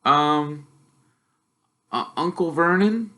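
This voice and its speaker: A man voicing a timid, polite young boy in a storybook, in a tentative, soft, hesitant way. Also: fairly high pitch, extremely slow, pitched above the performer's own voice, exaggerated voice. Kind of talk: storybook character voice.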